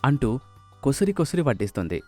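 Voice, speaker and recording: A man's voice: mid-range voice, big pitch swings, brisk, darkish tone, soft background music. A man narrating a scripted story as its narrator.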